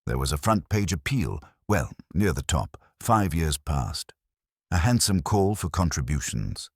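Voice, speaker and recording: A prim, exacting female parish secretary as a male narrator plays her in a storybook mystery. The delivery is formal and grudging, very low in pitch yet lilting. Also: sing-song, measured pace, slightly rough, no echo, clean studio sound, full character voice. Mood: precise, formal.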